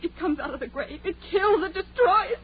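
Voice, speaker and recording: A woman's voice: very high-pitched, lively intonation, moderate pace. An actress playing a frightened, superstitious young woman given to hysterics in an old radio horror drama. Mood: terrified. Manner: panicky, breathless pleading. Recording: muffled, noisy old radio recording.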